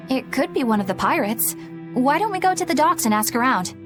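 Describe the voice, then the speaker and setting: A woman's voice: sits high, sing-song, brisk, over music. An actress playing a precise, earnest, prickly young woman who speaks formally and insistently in a video game. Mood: practical.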